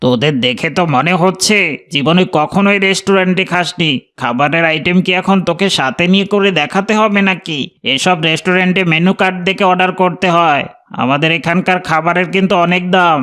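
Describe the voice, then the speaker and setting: A snobbish restaurant owner from a cartoon, acted by a man. His voice is high and sharp, crisply clear, with a haughty, self-important manner. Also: brisk. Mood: scornful, irritated. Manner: haughty, scolding. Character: snobbish, rude male restaurant owner, fawning to important customers. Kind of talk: cartoon voice acting.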